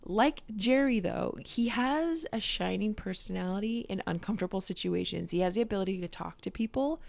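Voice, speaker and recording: A woman's voice: mid-range voice, fairly quick, gravelly, a little mellow. A young woman co-hosting a podcast, chatting casually about a sitcom episode, swearing and saying "like" a lot. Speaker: podcast co-host.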